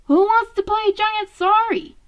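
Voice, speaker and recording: Boisterous, competitive teenage boy turtle played by a woman, raised pitch, challenging, for a cartoon-based storybook. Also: fairly even intonation, measured pace, muffled recording.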